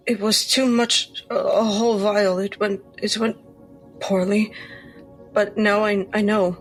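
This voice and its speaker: A woman acts out a timid, drugged patient in a horror roleplay game. She speaks slowly and haltingly, stumbling over repeated words in a foggy, fearful way. Faint music plays behind her. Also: mid-range voice, natural voice, low-quality recording.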